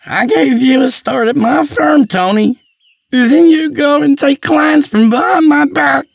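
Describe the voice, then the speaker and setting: A man's voice: medium pitch, sing-song, measured pace, no echo, pitched above the performer's own voice. A man playing a bitter, volatile, drunken lawyer in an audiobook story, sarcastic and prone to anger. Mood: furious.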